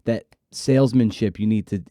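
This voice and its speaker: A man's voice: on the low side, normal speed, warm tone, no echo, studio quality. A man hosting an interview podcast, chatting casually and emphatically, repeating words for stress and poking fun at himself.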